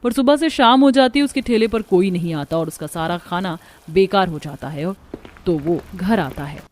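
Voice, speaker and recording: A woman's voice: on the low side, moderate pace, darkish tone, a little hiss or hum. A woman giving scripted narration for a Hindi cartoon story.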